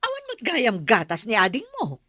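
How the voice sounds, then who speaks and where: A woman's voice: medium pitch, wildly swooping pitch, normal speed, pushed and bright, dry recording, exaggerated voice. Woman as a swooping, disapproving auntie shopkeeper. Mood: nosy, reproachful. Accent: Ilocano. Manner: reproachful, tutting. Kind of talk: radio drama acting.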